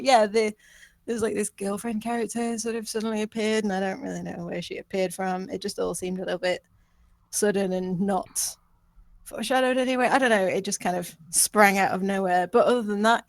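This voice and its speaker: Woman, gravelly fry, dry sound, casual feedback, tentative delivery. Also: medium pitch, no room sound. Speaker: script reader giving feedback. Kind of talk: casual chat, script feedback.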